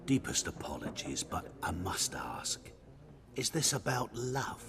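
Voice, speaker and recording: An actor playing a sly wandering merchant in a fantasy game, florid and courteous, ingratiating and teasing. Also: male voice, low-pitched, very animated, a little slow, full character voice. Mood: courteous, probing. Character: sly, humble-seeming wandering male merchant, theatrical and courteous. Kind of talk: fantasy video game acting. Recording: game dialogue with clear background noise.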